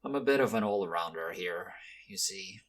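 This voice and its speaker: A man playing a guarded, weary, quietly kind young mafia henchman in an ASMR roleplay, speaking low-key and hesitantly to the listener. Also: lively intonation, moderate pace. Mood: casual, apologetic.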